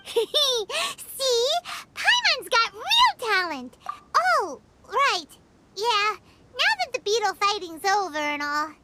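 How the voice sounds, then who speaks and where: A woman's voice: extremely high pitch, sing-song, slow, heavy background noise, exaggerated voice. A woman voicing a tiny, perky, self-important female fairy companion in a video game, with a childlike delivery. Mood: triumphant. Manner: childlike, speaks of self in third person.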